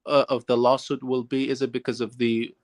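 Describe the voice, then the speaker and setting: A man's voice: medium pitch, flat delivery, a little fast. A man calling in to a show, asking tentative follow-up questions in a polite, conversational way. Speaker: caller. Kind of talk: tentative questioning over a call.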